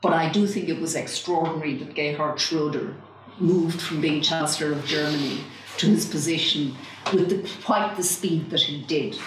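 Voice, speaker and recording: A woman in her sixties answering an audience question as a panel speaker in a seminar room, measured and repeating words while she thinks. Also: sits low. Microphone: far room mic. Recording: echoey room from far off, faint noise.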